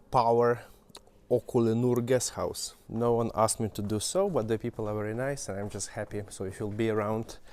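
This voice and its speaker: A man's voice: lowish voice, darkish tone, a little hiss or hum. A man from Western Ukraine, a native Ukrainian speaker with a Ukrainian accent, giving casual travel commentary while walking outdoors and pointing things out to viewers. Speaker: travel vlogger. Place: outdoors walking through an old town.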